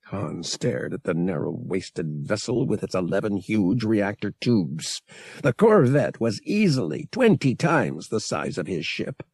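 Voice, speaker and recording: A man's voice: lowish voice, very animated, unhurried, darkish tone, slightly rough, no echo. A man reading an adventure novel as an audiobook narrator, in a dramatic scripted style.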